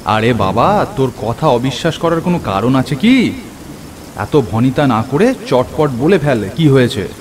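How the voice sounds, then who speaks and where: A man playing an earnest, excitable friend who tells a cartoon horror story in the first person, in an expressive, theatrical way. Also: medium pitch, measured pace, a crowd in the background. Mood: concerned, insistent. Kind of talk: dramatic scripted first-person horror narration. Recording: clear rain and thunder over voice. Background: rain and thunder effects.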